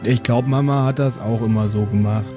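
A man playing a hapless, slow-witted dwarf brother in improvised tabletop role-play, talking in a hesitant, halting way. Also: mid-range voice, fairly even intonation, music in the background, natural voice. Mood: innocently clueless.

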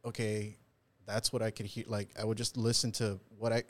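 A young man guesting on a podcast explains his process in a casual, halting way, with many restarts. His voice is somewhat low. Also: flat delivery. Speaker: composer guest.

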